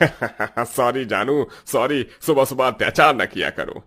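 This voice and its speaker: A man's voice: mid-range voice, a little slow, fairly bright tone. A man voicing a meek, comic henpecked husband in a Hindi cartoon story. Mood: meekly complaining.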